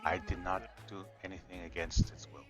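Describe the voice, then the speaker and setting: A man voicing an earnest dragon shapeshifter in improvised online roleplay, explaining himself in halting, stammered phrases. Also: low-pitched, flat delivery, fairly bright tone, faint music behind. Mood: solemn, insistent. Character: earnest male dragon shapeshifter, once human and robot.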